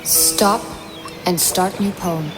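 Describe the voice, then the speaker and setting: Woman, poetry reader, word-by-word delivery, very slow, lowish voice, loud music bed. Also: fairly even intonation. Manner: drawn out, one word at a time, fading. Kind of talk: slow poetry reading over a soundscape.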